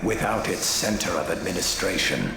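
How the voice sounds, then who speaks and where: Fanatical warlord villain, very low pitch, swooping grandiose menace, video game, music behind. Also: male voice, animated, moderate pace, roomy sound, rough recording. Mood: gloating. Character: gloating male villain, fanatical dark warlord of renegades. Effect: transmission over a vox channel. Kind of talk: scripted video game acting.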